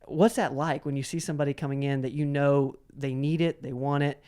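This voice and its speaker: A man's voice: mid-range voice, steady tone, fairly quick, warm tone, smooth. A man hosting a casual interview, asking long, rambling questions and often doubling back on his words. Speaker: interview host.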